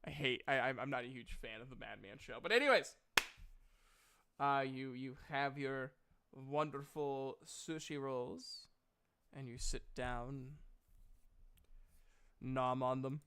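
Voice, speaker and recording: A man's voice: mid-range voice, slow pace, pauses often, bright, forceful tone, a little hiss or hum. A man running a tabletop role-playing game as game master, narrating and guiding players in a playful, teasing way.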